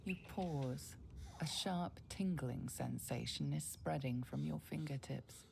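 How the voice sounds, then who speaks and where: A woman playing a grave, all-knowing narrator of a dark fantasy game, delivering scripted second-person narration slowly and ominously. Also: low voice, creaky, slight background noise, heavily acted. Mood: grave, intrigued. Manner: slow, deliberate, ominous.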